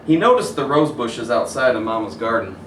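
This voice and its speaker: A man reading a children's story aloud as a teacher, in an animated read-aloud style, stopping to point out pictures. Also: medium pitch, fairly quick. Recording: roomy echo, distant mic, faint noise.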